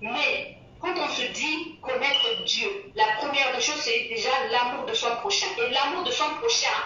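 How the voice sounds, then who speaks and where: High bright woman, hesitant, echoey and distant. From Central African Republic. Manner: hesitant, searching for words, many repetitions. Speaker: guest. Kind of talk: casual interview talk, moral advice. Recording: echoey, distant, dull lo-fi sound.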